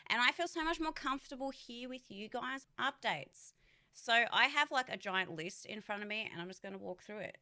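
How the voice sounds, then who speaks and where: Frank, sweary young woman, bright voice. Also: a little high, expressive. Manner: frank and sweary, talks fast with restarts. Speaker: voice actor and streamer. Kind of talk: candid casual vlog chat.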